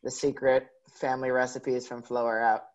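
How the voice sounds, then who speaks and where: A man's voice: medium pitch, flat delivery, moderate pace. A man hosting an online event, casually putting questions and chatting with his guest. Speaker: host of a virtual fan event. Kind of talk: casual interviewing and chat. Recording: dull, lo-fi call audio.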